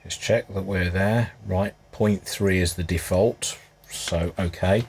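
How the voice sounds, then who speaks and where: A man with a husky voice giving casual, unscripted hands-on repair commentary, musing aloud as he works. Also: deep voice, steady tone, takes it slowly. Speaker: hobbyist restorer presenting his repair.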